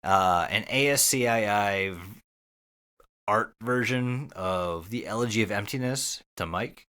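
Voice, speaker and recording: A man's voice: on the low side, a little slow, sharp tone, slight background noise. A man co-hosting a podcast with casual banter and recaps, joking and swearing freely. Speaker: podcast co-host.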